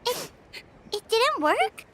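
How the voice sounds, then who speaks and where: A woman voicing a small, childlike, excitable fairy companion in a video game, with a squeaky, exclamatory delivery. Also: slow, slight background noise, heavily acted. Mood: dismayed. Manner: exclamatory, emotive. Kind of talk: video game character acting. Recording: loud game music behind.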